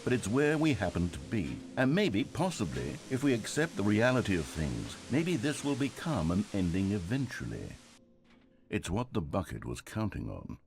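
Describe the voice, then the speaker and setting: An actor playing a wry, theatrical storybook narrator in a video game. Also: male voice, lowish voice, very animated, moderate pace, vocal fry, music in the background. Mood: wry, dramatic. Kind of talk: scripted narration.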